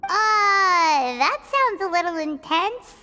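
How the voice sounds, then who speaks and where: An actress voicing a small childlike companion creature in a fantasy game, chatty, eager and frank. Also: female voice, extremely high pitch, lively intonation, slow, bright, forceful tone, exaggerated voice. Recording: long reverb, slight noise.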